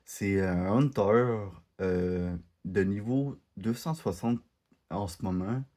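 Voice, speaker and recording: A man's voice: fairly low pitch, slow, mellow. A man from Quebec with a Québécois French accent, talking casually over his gameplay, hesitant and full of fillers and restarts. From Quebec, Canada. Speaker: gaming video creator.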